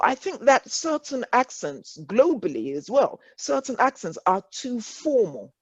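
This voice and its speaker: An English woman leads an online workshop, speaking earnestly and circling back to her reassurances. Her voice sits at a middle pitch. The call audio is dull and lo-fi, with a dry sound. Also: no echo. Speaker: workshop facilitator. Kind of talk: encouraging workshop talk.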